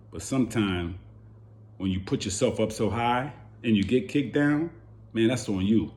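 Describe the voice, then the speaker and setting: Hoarse man ranting about boxing. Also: fairly low pitch, a little mellow. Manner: heated, repeating rhetorical questions. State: hoarse from yelling. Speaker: boxing channel host. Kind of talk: animated boxing commentary rant.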